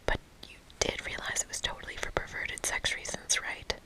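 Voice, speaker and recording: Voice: normal speed, bright, forceful tone. Reader playing a blunt, sarcastic young magical camp girl for a game, casual, entirely whispered. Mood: exasperated. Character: sassy, blunt young female magical camper.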